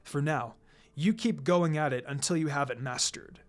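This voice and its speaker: A narrator voicing a calm adult male ninja teacher with a measured, instructive and dryly teasing manner in a fan fiction reading. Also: mid-range voice, voice pushed down, natural voice. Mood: calm, firm.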